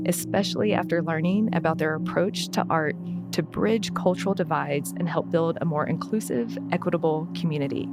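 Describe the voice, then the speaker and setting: A woman hosting a podcast, introducing her guest and asking questions in an enthusiastic, effusive manner. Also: deep voice. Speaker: podcast host. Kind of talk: scripted intro then casual interview.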